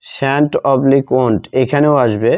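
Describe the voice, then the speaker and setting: A Bengali-accented man gives a grammar lesson at a very unhurried pace. His voice is mid-pitched and soft-edged, dark in tone. He dictates piece by piece, repeating phrases as he writes them out. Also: highs cut off. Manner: deliberate, repetitive, spelling out each phrase. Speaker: English grammar teacher.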